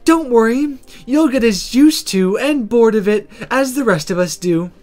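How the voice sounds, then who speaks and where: A performer voicing a brisk, cynical, teasing student girl from a visual novel. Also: medium pitch, expressive, a little mellow, soft background music, voice pushed up, lightly acted. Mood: casual reassurance. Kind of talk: visual novel read-aloud character voice.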